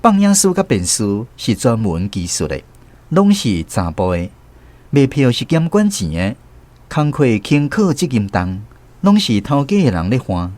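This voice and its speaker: A reflective narrator looking back in a radio drama. His mid-pitched voice is lively in melody and has a Taiwanese accent. He explains things conversationally, with music clearly behind. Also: a little slow. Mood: reminiscing. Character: reflective male storyteller recalling his youth. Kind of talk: scripted first-person radio drama narration.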